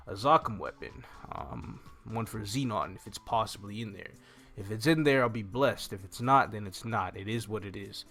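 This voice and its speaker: A young man with a mid-pitched voice hosts a casual gaming walkthrough. He talks in an animated, exclaiming way into a close desktop microphone, with game music playing behind him. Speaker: gaming video host. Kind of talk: casual gameplay walkthrough commentary. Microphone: desktop condenser microphone close up.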